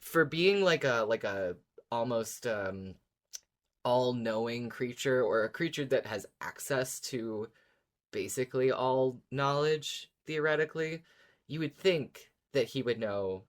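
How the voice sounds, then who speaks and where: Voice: mid-range voice, measured pace. Enthusiastic podcast co-host, mid-range voice. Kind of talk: casual fan discussion.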